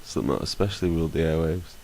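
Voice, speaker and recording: A man's voice: a bass voice, quick pace, gravelly, a little mellow. A young man co-hosting a casual podcast, sounding nasal from a cold, talking in a dry, flippant, offhand way. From England. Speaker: podcast co-host. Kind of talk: casual chat. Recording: faint hiss.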